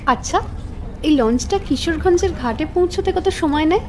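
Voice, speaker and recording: A woman playing a polite, mysterious young office worker in dialogue for an animated horror story. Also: extremely high pitch, moderate pace, slight background noise. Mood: polite, reserved. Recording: music bed under voice.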